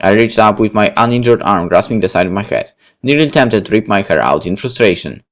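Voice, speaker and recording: A man's voice: lowish voice, lively intonation, a little fast. A man narrating a visual novel as he plays, reading the story aloud.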